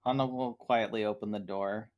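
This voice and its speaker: A man's voice: fairly low pitch, steady tone, normal speed, no room sound, lo-fi sound. A man playing a tabletop roleplaying game, casually describing what his character does.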